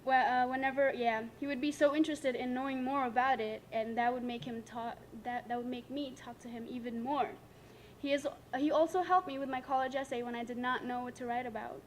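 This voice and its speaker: A woman's voice: sits high, steady tone, brisk, slight background noise. A young woman, giving a prepared tribute speech as a student, giggly and self-conscious between lines. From Nepal. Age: late teens.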